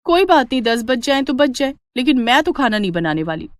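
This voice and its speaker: A woman's voice: sits high, very animated, brisk, no echo, pitched above the performer's own voice. A woman voicing an assertive, resentful elder daughter-in-law, argumentative and insistent, in a cartoon family drama. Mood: defiant.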